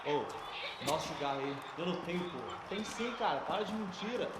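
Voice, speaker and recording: A man's voice: a little high, lively intonation, fast, close to an everyday voice. An actor playing a coarse, bickering Brazilian gang henchman in a video game. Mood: irritated bickering. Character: crude, pushy male Brazilian gang henchman. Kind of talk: video game dialogue acting. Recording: echoey, very noisy outdoor scene.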